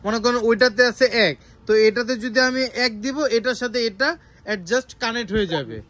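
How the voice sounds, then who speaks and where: A man's voice: extremely high pitch, quick pace, a crowd in the background. A Bangladeshi man hosting a casual product demonstration, enthusiastically repeating and confirming points. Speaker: video host and toy shop promoter.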